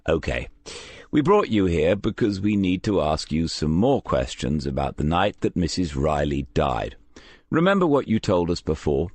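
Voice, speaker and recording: A man voicing a friendly but quietly insistent English police detective in an audiobook, questioning in a measured, conversational way. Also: sits low, dry recording, heavily acted.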